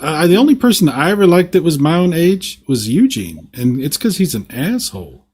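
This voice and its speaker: Animated man with a wry streak. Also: fairly high pitch, a little fast, slight background noise. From Texas. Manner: wry, jokey. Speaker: podcast co-host. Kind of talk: casual joking chat.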